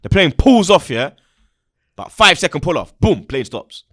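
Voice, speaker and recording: A man's voice: a little high, very animated, normal speed, a bit bright, no room sound, studio quality. A young man with a London accent, hosting a podcast and telling a casual, slangy story. He acts out the lines of the people in it. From England. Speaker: podcast host.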